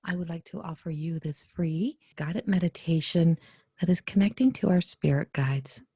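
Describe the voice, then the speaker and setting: A woman guides a meditation in a mellow, slightly low voice. Her tone is very breathy and soft, often close to a whisper, recorded dry. She gives gentle, unhurried instructions in short phrases with pauses between them. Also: no room sound.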